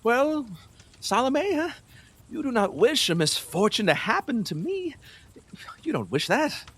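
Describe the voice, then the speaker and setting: An actor playing a lustful, fearful, superstitious middle-aged king in an audio play, husky, wheedling and erratic, with nervous laughs and halting repeats. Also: very high-pitched, sing-song, fairly bright tone, exaggerated voice. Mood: anxious, coaxing. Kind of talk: theatrical audio play acting.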